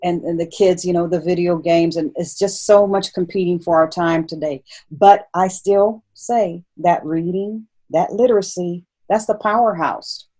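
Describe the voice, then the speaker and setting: A woman's voice: fairly low pitch, fairly even intonation, a little slow, few pauses, warm tone. A woman hosting an interview in casual conversation, speaking earnestly and repeating words for emphasis. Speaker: host, interviewer.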